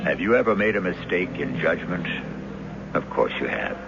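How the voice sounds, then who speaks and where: A man hosting and narrating a 1970s radio mystery in a measured, dramatic, ominous manner. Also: sits low, very animated, gravelly. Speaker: host and narrator. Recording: dull 1970s radio recording. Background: loud music.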